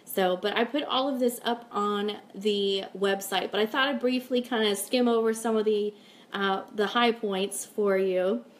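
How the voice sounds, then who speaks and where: A woman's voice: mid-range voice, fairly even intonation, measured pace. A woman hosting a casual live stream, chatting informally and upbeat as she reads out comments and announcements. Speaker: host of a fan radio live stream.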